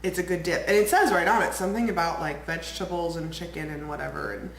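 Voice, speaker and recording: A woman's voice: fairly low pitch, fairly even intonation, quick pace. A young woman vlogging in a casual, rambling way, a bit congested and nasal from a cold. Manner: bubbly, rambling, lots of "like". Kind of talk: casual chatty vlog. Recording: echoey room, faint ambient noise.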